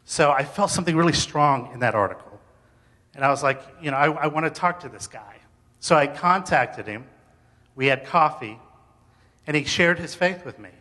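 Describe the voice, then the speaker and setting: A bright, somewhat high-voiced man shares a personal testimony in a hall with long reverb. He talks informally and earnestly, feeling his way through phrases as he addresses listeners. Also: normal speed. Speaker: speaker sharing faith story. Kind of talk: personal testimony told to an audience.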